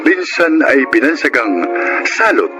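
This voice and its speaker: A man's voice: medium pitch, big pitch swings, a little slow. A man announcing as host of a radio drama programme in a scripted, formal presenting style. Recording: radio broadcast with music underneath.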